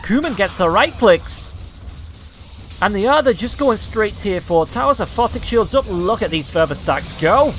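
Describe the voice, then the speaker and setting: A slightly hoarse man calling a match in quick, excited play-by-play commentary. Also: high-pitched, slight background noise. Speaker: esports caster.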